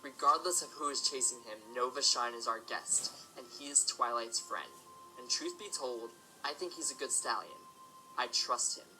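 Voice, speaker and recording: Stallion father, acted by a man: protective, principled, firm, very bright edgy tone, mid pitch, faint music behind. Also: normal speed, low-quality recording. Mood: calm, resolute. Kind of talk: audio drama acting.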